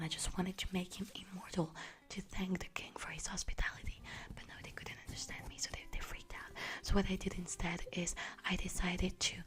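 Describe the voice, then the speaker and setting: A woman playing an anxious, scatterbrained mother goddess in an ASMR roleplay, speaking in a halting, rambling, breathy whisper and repeating herself. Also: lowish voice, fairly bright tone, lightly acted. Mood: rambling, wistful. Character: anxious, scatterbrained Greek harvest goddess, mother seeking her daughter.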